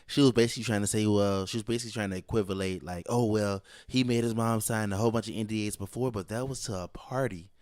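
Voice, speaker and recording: A man's voice: fairly low pitch, fairly even intonation, fast talker, few pauses, a little mellow, no echo, studio quality. A man co-hosting a podcast with casual, slangy, disapproving commentary, often repeating his phrases. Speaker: podcast co-host.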